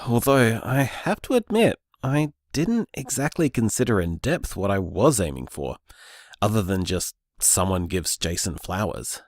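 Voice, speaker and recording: A man's voice: on the low side, a little slow, no echo, studio quality. An actor playing an earnest young man discovering luck magic, talking in a thoughtful, musing way. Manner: thoughtful, musing, lively delivery. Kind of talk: audio drama, voice-message monologue.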